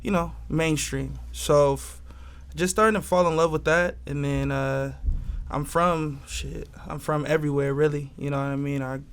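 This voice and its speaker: A man's voice: fairly high pitch, steady tone, moderate pace, clear, resonant tone. A man in his twenties from Southern California, a record label head talking in a loose, laid-back way with lots of slang and fillers. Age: 20s. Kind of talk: casual interview talk.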